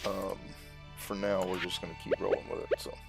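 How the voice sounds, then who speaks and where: A woman giving casual let's-play commentary as a gaming channel host, thinking aloud in an unhurried way. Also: rumbling low, level tone, creaky. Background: game music and sound effects.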